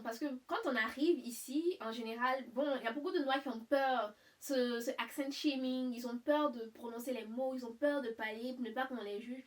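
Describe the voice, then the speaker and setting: A young woman hosting a casual interview, asking probing follow-up questions whose pitch climbs as she presses. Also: recorded from a distance. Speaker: host.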